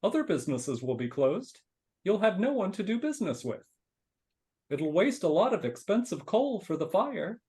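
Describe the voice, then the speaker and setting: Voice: mid-range voice, very animated, moderate pace, warm tone. A performer reading a meek, polite male frog clerk, hesitant and deferential, in a live dramatic reading. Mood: pleading. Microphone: video call.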